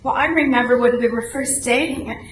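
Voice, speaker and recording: An echoey, noisy room recording of a woman acting as a high-voiced radio-comedy wife. She is devoted yet exasperated, and her pitch swings widely as she exclaims. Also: brisk, lightly acted. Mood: tender, adoring. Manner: exclamatory, incredulous questioning. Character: doting wife turning exasperated and indignant at her husband. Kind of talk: comic radio-play acting. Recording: roomy, echoey live recording, clear background noise.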